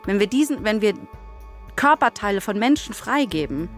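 A woman's voice: medium pitch, lively intonation, normal speed, faint music behind. A woman co-hosting a podcast, debating in a casual but firm and insistent way. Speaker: podcast co-host and radio play author.

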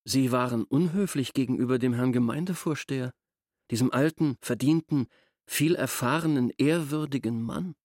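A male actor as a stiff village schoolteacher, resentful and officious. His medium-pitched voice is recorded very dry and close, and he phrases everything formally, with open disapproval, in a radio play manner. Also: moderate pace, darkish tone, no room sound, close to an everyday voice. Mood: reproachful. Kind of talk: German radio drama acting.

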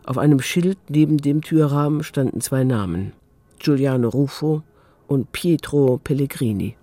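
A man narrating a scripted audio drama in a measured, sober manner. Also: medium pitch, mellow, no echo. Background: faint music.

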